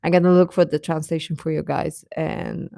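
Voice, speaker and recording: A woman hosting a casual reaction chat, talking in an animated, giggly, rambling way and often repeating phrases for emphasis. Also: deep voice, moderate pace, creaky, dry recording, clean studio sound. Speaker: livestream host chatting with viewers.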